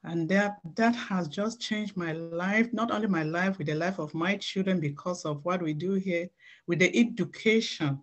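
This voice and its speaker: Lady, middle-aged, earnest halting testimony, presenting a training. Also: lowish voice. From Cameroon.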